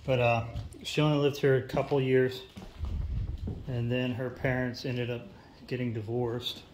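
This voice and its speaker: Man musing aloud, fairly flat pitch. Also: a little slow. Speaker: urban exploration vlogger. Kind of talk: casual, off-the-cuff walk-through narration. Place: small empty wooden house, indoors. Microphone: handheld phone behind the camera. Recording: noisy handheld recording with clicks.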